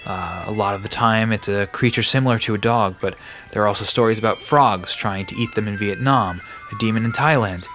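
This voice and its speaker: A man's voice: lowish voice, brisk, fairly bright tone, faint music behind, lightly acted. A man playing a laid-back, bookish park resident in an audio drama, casually explaining myths with a dry manner. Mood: amused, informative. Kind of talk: conversational audio drama storytelling.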